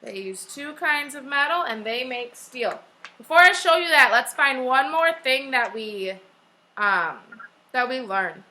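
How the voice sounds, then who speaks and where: A woman teaching young children in a classroom, reading aloud and instructing deliberately, with repeated questions and key phrases. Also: sits high, lively intonation, bright, forceful tone, low-quality recording. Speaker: elementary school teacher. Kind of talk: classroom read-aloud lesson.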